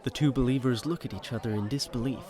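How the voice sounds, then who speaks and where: A man's voice: medium pitch, fast, over a noisy crowd. A man giving wry, dramatic scripted narration with storytelling asides to the listener.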